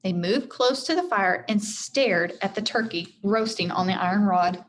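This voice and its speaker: A woman reads a storybook to children like a schoolteacher, speaking right to them and bringing the dialogue to life. Her voice sits at a middle pitch. The recording has clear background noise. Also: moderate pace. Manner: addresses young listeners directly, acts out dialogue. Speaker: teacher reading to children. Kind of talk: expressive children's read-aloud of a chapter book.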